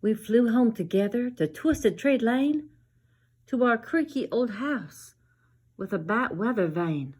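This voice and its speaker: A woman's voice: a little high, expressive, takes it slowly, a little mellow. A woman voicing a little zombie ballerina girl, dramatic and eager, in a theatrical children's storybook reading. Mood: proud, content.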